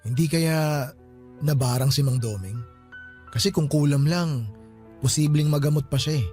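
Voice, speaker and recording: Man acting superstitious young Filipino friend, radio drama, grieving, slightly high pitch, mellow tone, colloquial. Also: normal speed, natural voice. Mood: suspicious. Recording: music underneath.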